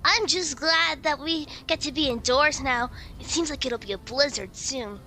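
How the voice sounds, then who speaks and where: Lady guest woman acting: chatty, wry puppet-show figure, very high-pitched, piercingly bright. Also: moderate pace, soft background music. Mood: chirpy.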